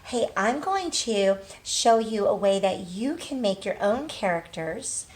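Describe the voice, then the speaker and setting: Woman, medium pitch, children's teacher, read-aloud lesson style, question prompts, pauses, drawn-out key words. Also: animated. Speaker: early literacy teacher.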